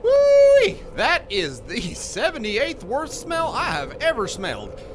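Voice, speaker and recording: An actor playing a folksy, kindly leader of survivors in an audio drama, talking in an earnest, rambling, hesitant way. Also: male voice, extremely high pitch, wildly swooping pitch, takes it slowly, music in the background, heavily acted. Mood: fussing. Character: folksy, kindly male survivor leader hauling wounded mole.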